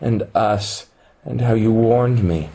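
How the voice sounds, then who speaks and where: An actor in a radio play voicing the devoted, insistent holographic copy of a dead programmer, pleading and earnest. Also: male voice, fairly low pitch, slow, gravelly, mellow, full character voice. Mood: insistent denial.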